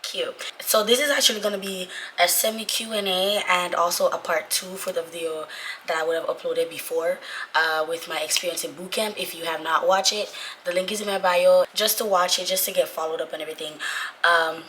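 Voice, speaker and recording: Raspy young woman vlogging, bright and forceful. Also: fairly low pitch, fairly even intonation, fairly quick, slight background noise. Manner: informal, repetitive for emphasis. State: sick, voice going away. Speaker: vlogger, Navy corpsman trainee. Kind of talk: casual chatty vlog talk to viewers.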